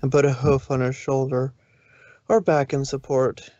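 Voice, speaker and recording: Man, narrator, steady storytelling read, dry close sound, lo-fi audio. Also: medium pitch, moderate pace, no echo. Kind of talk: reading fanfic narration aloud. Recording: dull, lo-fi stream audio.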